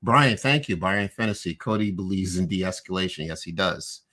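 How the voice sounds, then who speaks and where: A low-pitched man co-hosting a relaxed live-stream recap. He talks loosely and animatedly, restarting sentences and leaning on "you know" as he walks through each scene. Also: moderate pace. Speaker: podcast co-host. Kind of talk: casual live-stream chat recapping an episode.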